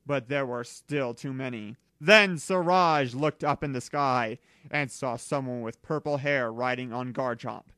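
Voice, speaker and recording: Male narrator, medium pitch, deliberate plain reading, fan fiction, dry recording. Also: sharp tone, dry recording.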